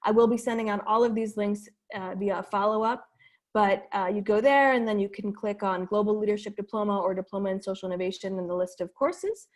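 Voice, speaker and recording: A woman's voice: mid-range voice, fairly quick. A woman wrapping up a webinar presentation over a video call, casual and friendly, with many fillers.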